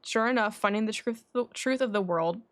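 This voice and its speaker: A woman voicing a composed, scholarly young male alchemist from a video game, reading his dialogue in a measured, formal, reflective way. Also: on the high side, lightly acted.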